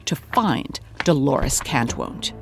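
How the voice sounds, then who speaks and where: A woman's voice: extremely low pitch, big pitch swings, slow pace, gravelly, faint music behind, exaggerated voice. A woman playing an earnest, dogged podcast host in scripted mock-documentary narration with a dramatic touch. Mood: determined.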